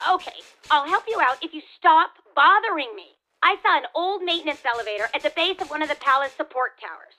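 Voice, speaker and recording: Bright, high-voiced wary heroine. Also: female voice, animated, fairly quick, low-quality recording. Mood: wary, dry. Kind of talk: animated video game acting.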